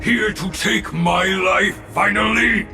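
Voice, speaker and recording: Bitter fallen game warrior: gravelly, drawn-out, echoing, halting, over loud music. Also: male voice, high voice, fairly even intonation, heavily acted. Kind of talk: video game voice acting.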